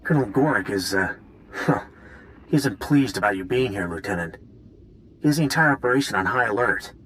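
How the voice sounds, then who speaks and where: Nervous, stammering military weapons designer. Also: male voice, lowish voice, animated, a little fast, fairly bright tone, a little hiss or hum, rough recording. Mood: nervous, earnest. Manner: hesitant, stammering, apologetic. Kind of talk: video game cutscene acting.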